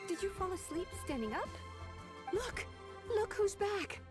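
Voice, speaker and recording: A frazzled, overworked young secretary. Her voice is very high and her words come slowly, full of breathless, exaggerated exclamations. Game music runs behind her. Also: expressive. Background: game background music.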